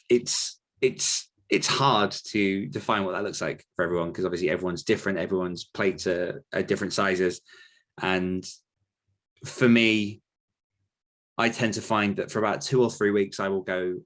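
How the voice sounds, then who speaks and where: A man's voice: low-pitched, fairly even intonation, measured pace, fairly bright tone. A man talking casually as a podcast host, rambling a little as he thinks aloud while answering questions. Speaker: podcast host and author coach.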